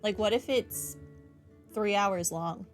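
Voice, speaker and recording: A woman's voice: medium pitch, slow pace, soft background music. A woman hosting a casual game playthrough, rambling along in a self-deprecating way. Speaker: gaming host. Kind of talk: casual playthrough commentary, reading dialogue aloud.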